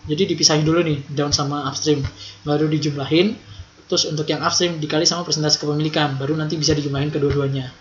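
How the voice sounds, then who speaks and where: A man's voice: on the high side, fairly even intonation, quick pace. A young man gives an informal tutorial, speaking colloquially and repeating key points for emphasis. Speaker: accounting tutor. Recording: roomy echo, dull lo-fi, faint noise.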